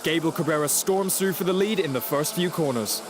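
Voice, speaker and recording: A man reading scripted narration for a motor racing highlights show. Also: a little fast, noisy background.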